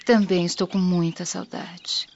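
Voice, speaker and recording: A woman acting a gentle, devout young Roman daughter in a radio drama, speaking with formal diction. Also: on the low side, level tone, measured pace, a little hiss or hum. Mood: gently anxious. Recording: muffled recording with birdsong ambience.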